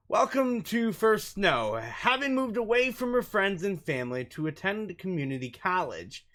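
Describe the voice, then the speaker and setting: A man's voice: a little high, fairly bright tone. A man doing let's play commentary and reading game text aloud, sometimes stumbling over the words. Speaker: gaming YouTuber. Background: background music.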